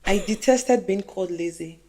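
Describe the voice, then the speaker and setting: A young woman, co-hosting a podcast and telling stories in a lively, laughing way. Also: medium pitch, moderate pace. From Nigeria. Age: young adult. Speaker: podcast co-host. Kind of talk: casual chat.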